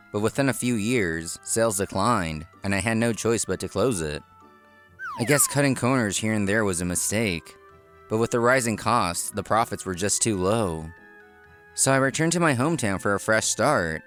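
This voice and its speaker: A man's voice: lowish voice, a bit bright. A man reading scripted first-person narration for a manga story. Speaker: narrator and lead character.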